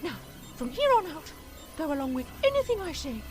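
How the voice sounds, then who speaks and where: A woman playing a determined, affectionate, resourceful adventurer in an audio drama, expressive and commanding. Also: sits high, brisk, faint music behind. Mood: resolute. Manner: expressive, thinking aloud, commanding.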